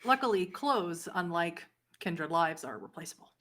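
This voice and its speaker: A woman speaking as game master, narrating a tabletop horror roleplay game in a measured, descriptive way. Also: on the low side, lively intonation, a bit bright.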